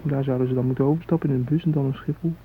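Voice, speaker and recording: An actor playing an uneasy train hostage in radio drama dialogue, conversational, worried and a little defensive. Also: male voice, medium pitch, fairly even intonation, rapid-fire, background noise, lightly acted. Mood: anxious, gossiping. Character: uneasy male train hostage swapping rumours. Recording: tinny, narrow-band old radio recording.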